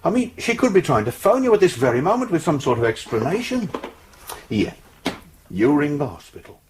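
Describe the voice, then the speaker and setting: Hesitant, lively English desk sergeant. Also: male voice, on the high side, brisk, a little hiss or hum. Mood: placating. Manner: stammering, hesitant, patiently reassuring. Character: hesitant, placating male police duty sergeant. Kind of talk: radio drama acting.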